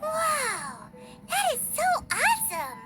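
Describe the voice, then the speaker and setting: A tiny, excitable sprite companion in a game. The voice is extremely high, squeaky and childlike, swooping in a cartoonish way over loud music. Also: female voice, slow pace, exaggerated voice. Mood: amazed.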